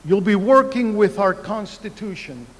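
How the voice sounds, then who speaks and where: A Cuban-born man in his seventies giving a commencement speech at a podium microphone in a large university arena, speaking in deliberate phrases with emphatic pauses. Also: sits high, animated, a bit bright. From Cuba. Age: 70s. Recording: reverberant arena sound.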